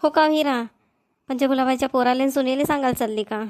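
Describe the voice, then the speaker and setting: A friendly, inquisitive village neighbour, played by a woman, for a homespun cartoon comedy. The voice is high and closely recorded with a dry sound, chatty and questioning, in Vidarbha Marathi. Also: fast talker, a little mellow, no room sound, close to an everyday voice. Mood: curious, agreeable. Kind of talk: amateur cartoon comedy dialogue.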